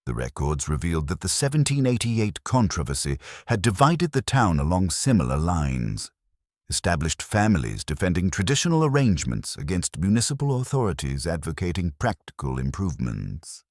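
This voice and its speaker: A man with an extremely deep voice narrates a mystery in a formal, measured way. His pitch moves expressively through the sentences, and the sound is dry, with little room around it. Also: big pitch swings, no room sound. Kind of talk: scripted audio mystery narration.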